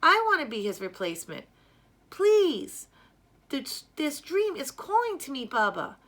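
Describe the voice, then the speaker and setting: Twelve-year-old storybook girl, read by a woman. Raised pitch, eager, pleading, heartfelt. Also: big pitch swings, measured pace, fairly bright tone, exaggerated voice. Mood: earnest pleading. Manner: breathless, eager, spilling words out.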